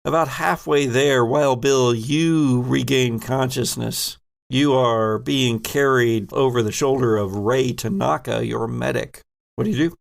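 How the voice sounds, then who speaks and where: Brief: male game master for tabletop RPG, slow and dramatic, plenty of fry in the voice. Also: mid-range voice, steady tone. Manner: dramatic storytelling, addressing players as characters.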